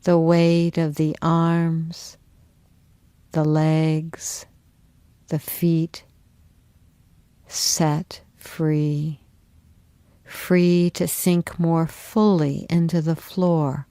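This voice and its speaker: Low, slow, mellow woman guiding meditation. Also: level tone, smooth, no room sound. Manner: soothing, unhurried, instructional. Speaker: yoga nidra teacher. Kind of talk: guided relaxation meditation.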